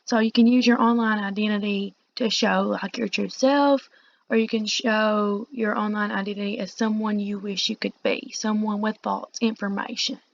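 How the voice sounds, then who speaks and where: A woman teacher giving a read-along lecture, reading aloud and pausing to explain in informal asides. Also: mid-range voice, fairly even intonation, gravelly. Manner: explanatory, pausing to paraphrase the text. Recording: dull, muffled lo-fi recording.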